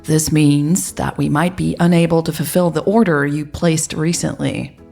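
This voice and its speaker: A woman narrating a scripted first-person story in a reflective, confiding, measured manner. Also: low-pitched, steady tone, creaky, dark tone, faint music behind. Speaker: narrator of a romantic story podcast.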